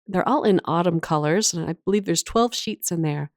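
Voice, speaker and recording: A woman's voice: on the low side, normal speed, dry recording, clean studio sound. Woman with a slight cold, chatty crafter. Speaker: craft channel host. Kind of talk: casual craft tutorial voice-over.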